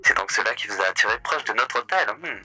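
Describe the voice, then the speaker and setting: A man with a very bright, sharp voice plays a smooth hotel host in a roleplay. He draws out his hums theatrically, while soft music plays behind him. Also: fairly low pitch, lively intonation, fast. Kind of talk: in-character roleplay chat.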